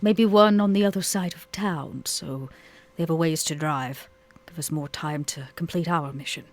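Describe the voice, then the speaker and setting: A player acts out an elegant vampire lady for a tabletop horror podcast. The pitch is middling, and the delivery is refined, theatrical and commanding, with a taste for the macabre. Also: moderate pace, no echo, close to an everyday voice. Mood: planning, composed.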